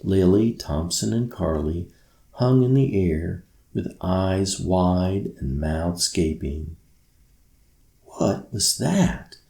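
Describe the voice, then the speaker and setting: Slow gentle guy reading a bedtime storybook, kinda echoey room. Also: low voice, gravelly. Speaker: author reading own story. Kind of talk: scripted storybook narration. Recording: roomy, echoey sound.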